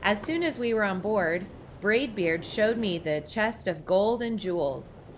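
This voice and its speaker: A woman reading a children's picture book aloud with expressive narration. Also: mid-range voice, measured pace, slight background noise. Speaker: storybook reader.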